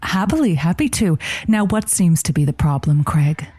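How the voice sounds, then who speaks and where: A woman playing a gentle, encouraging call-in advice host in a comedy radio parody. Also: sits low, lively intonation, normal speed, breathy edge, no room sound. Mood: welcoming.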